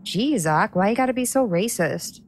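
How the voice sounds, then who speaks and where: An actress playing an earnest, teasing newcomer in an audio drama, with a creaky, conversational delivery. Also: female voice, medium pitch, lively intonation, moderate pace, a little hiss or hum, natural voice. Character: earnest, teasing woman newcomer from modern America.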